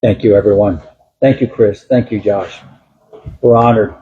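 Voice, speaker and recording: A man's voice: on the low side, fairly even intonation, moderate pace, phone-quality sound. A man speaking as sheriff at a building dedication, folksy and thankful. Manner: folksy, self-deprecating, thankful. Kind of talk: public speech at a ceremony.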